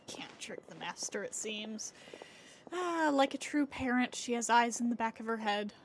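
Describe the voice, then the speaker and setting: A woman's voice: on the high side, lively intonation, normal speed, a little hiss or hum, low-quality recording. A woman livestreaming a game, giving casual reactions as she plays.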